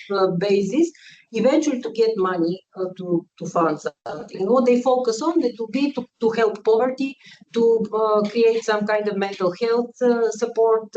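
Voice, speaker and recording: A woman's voice: a little high, darkish tone. A woman from Bulgaria giving an academic conference talk, hesitant, with many fillers and uneven emphasis. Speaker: conference speaker, researcher. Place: conference hall. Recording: distant, dull, faint noise.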